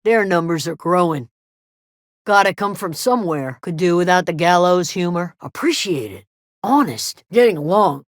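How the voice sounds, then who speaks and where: A woman playing a gruff, guarded frontier settler who watches over a camp, terse and plain-spoken, in a video game. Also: lowish voice, expressive, normal speed, dry recording, studio quality, lightly acted. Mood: worried, dutiful. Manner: terse, wary, plain-spoken with folksy drawn phrasing. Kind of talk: video game character dialogue.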